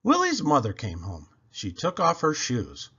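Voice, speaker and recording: A man reading a children's book aloud with expressive, emphatic delivery. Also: a little high, measured pace, husky, lo-fi sound. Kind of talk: animated storybook read-aloud narration.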